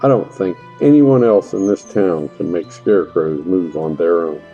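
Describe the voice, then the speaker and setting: A male actor as a middle-aged fantasy farmer with a very low, dark voice. He grumbles in folksy country talk, fed up with everyone useless around him, in a slightly muffled recording over music. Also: normal speed. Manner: folksy, colloquial grumbling with mild country oaths. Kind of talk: audio drama fantasy acting.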